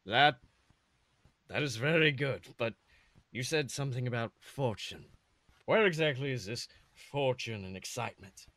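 A man's voice: medium pitch, animated, measured pace, fairly bright tone, dry recording. Man putting on a bewildered pirate captain, dry close sound. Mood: puzzled. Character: bewildered, wary adult male pirate captain. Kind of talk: comedic fan fiction reading.

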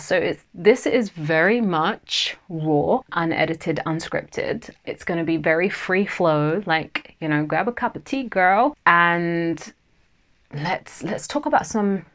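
Woman host, solo podcast, relaxed free-flow talk, lower voice, slight stammer with pauses. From Nigerian family, Yoruba heritage. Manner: chatty, laughs often, pauses from a slight stammer. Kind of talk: unscripted solo podcast chat.

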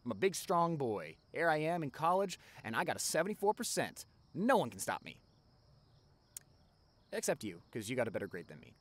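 A man's voice: fairly high pitch, big pitch swings, a little fast. A young man playing a caring, chatty college best friend in an ASMR roleplay, talking casually and directly to the listener. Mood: upbeat, joking.

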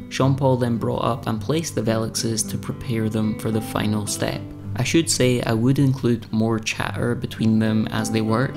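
Young male vlog narrator, low gravelly voice, flat even delivery, music bed under it. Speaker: renovation vlogger. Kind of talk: scripted voice-over narration.

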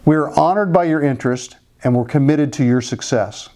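An American man in his fifties giving a scripted product presentation as a company spokesperson, in a measured, businesslike manner. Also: fairly high pitch, mellow. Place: office conference room, indoors. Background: background music.

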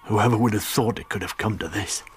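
An actor playing a remorseful, bitter working seaman in a radio drama, with a husky voice. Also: fairly low pitch, fairly quick, slight background noise, lightly acted. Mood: remorseful confession.

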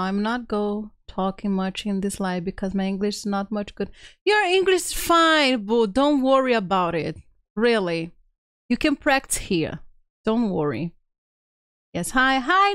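Slow-paced, higher-pitched woman streaming a game. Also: very animated, a bit bright, clear, resonant tone, no room sound, studio quality. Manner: playful, exclamatory, chatty with viewers. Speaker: streamer, video game host. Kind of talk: casual live game stream chat, reading on-screen text and chat aloud. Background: faint video game music.

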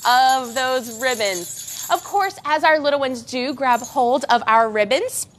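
Brief: young woman, baby gym teacher, high bright voice, sing-song and coaxing, bells jingling behind. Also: measured pace, faint music behind. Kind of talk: instructional class for parents and babies.

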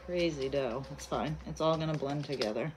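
Low-voiced woman giving recipe steps. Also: moderate pace, background noise. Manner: practical, directive, reads recipe steps aloud. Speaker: cooking helper reading the recipe. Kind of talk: casual instructions.

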